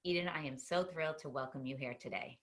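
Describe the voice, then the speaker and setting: A woman's voice: deep voice, quick pace, fairly bright tone. A woman with a Boston accent hosting an interview over a video call, reading a scripted introduction and then asking chatty questions. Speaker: host.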